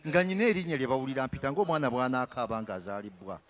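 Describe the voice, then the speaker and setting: A man's voice: medium pitch, sing-song, very fast, lightly acted. An actor on a theatre stage playing a husband who coaxes and soothes his angry wife with rambling explanations, into a handheld mic. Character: placating husband caught between wife and friend. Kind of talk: live stage comedy acting. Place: theatre stage with house set.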